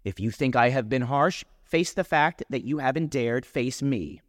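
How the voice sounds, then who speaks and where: A man's voice: mid-range voice, expressive, moderate pace, no room sound, clean studio sound, long reverb tail, close to an everyday voice. A man voicing a self-righteous, wounded young man in a short story, declaiming a letter in a pompous, indignant, oratorical manner.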